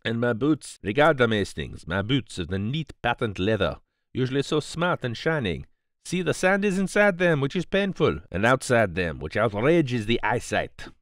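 A theatrical, fastidious Belgian detective for a storybook reading. The accent is French-speaking Belgian, with foreign turns of phrase and a declamatory, easily outraged air. The pitch swings widely, in a dry close recording. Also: male voice, big pitch swings, a bit bright, no echo. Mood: indignant, fussy.

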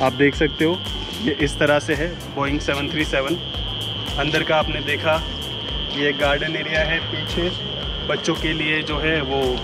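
A man in his thirties, chatting casually as a food vlogger in a relaxed way, filmed on a handheld action camera. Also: on the high side, poor audio. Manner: relaxed and chatty, with filler pauses. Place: airplane-themed restaurant, indoors and outdoors. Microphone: action camera held at arm's length.

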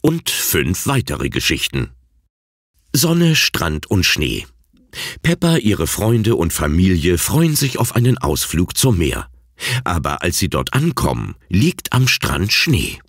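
A man's voice: low voice, wildly swooping pitch, takes it slowly, dry recording, studio quality. A man announcing children's audio stories in scripted narration.

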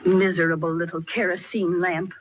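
A woman's voice: fairly low pitch, level tone, normal speed, breathy edge. An actress playing a haughty, impatient, desperate trapped woman, in old-time radio horror style. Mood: scornful, urgent. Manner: insistent, imperious, sharp-tongued. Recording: dull, lo-fi old radio recording.

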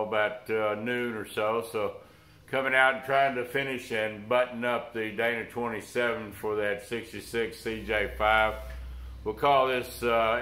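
A man's voice: on the low side, pushed and bright. Scratchy-voiced older man, roomy sound. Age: older, around 60s. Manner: halting, folksy. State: unwell with flu. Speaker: host of a workshop restoration vlog. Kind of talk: unscripted how-to talk to camera. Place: cluttered workshop, indoors. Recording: roomy workshop echo.

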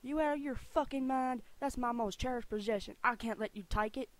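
A woman plays a bitter, swearing pilot captain in a game fandub. He is brash and grumbling, with a redneck way of talking and a gruff temper. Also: a little high, brisk, dry recording, lightly acted. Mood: bitter, angry. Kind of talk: anime-style game fandub audition.

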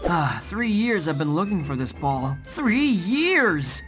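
A performer voicing an earnest, obsessive dog in an animated comedy sketch. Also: mid-range voice, slow, faint music behind. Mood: desperate. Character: earnest cartoon dog obsessed with a lost ball.

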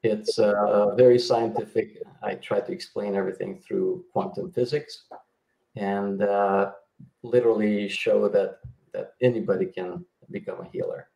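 A man's voice: lowish voice, fairly even intonation, measured pace, vocal fry, mellow, reverberant, rough recording. A middle-aged man from Yugoslavia, a healer guest explaining his work over a video call, with many fillers and restarts. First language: Hungarian. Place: indoor home room.

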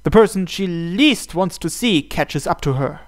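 A man's voice: high-pitched, expressive, unhurried. A man narrating a visual novel aloud as host, reading the scripted text.

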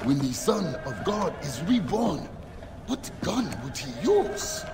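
An actor playing a fervent, gun-loving former warlord turned preacher in a game, theatrical, swinging from hushed to thundering. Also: male voice, fairly high pitch, big pitch swings, takes it slowly, gravelly, over music, heavily acted. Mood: hushed, grave. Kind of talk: scripture-quoting sermon in a game cutscene.

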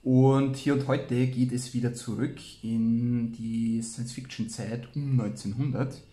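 Male co-host, mid-pitched, loose and playful chat. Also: steady tone, unhurried, dark tone. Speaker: co-host who reads old science fiction aloud. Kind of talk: casual podcast chat.

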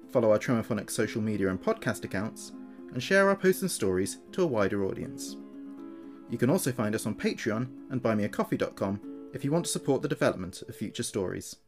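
A man narrating a horror story in a measured, scripted way with dramatic pauses. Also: mid-range voice, very animated, soft background music.

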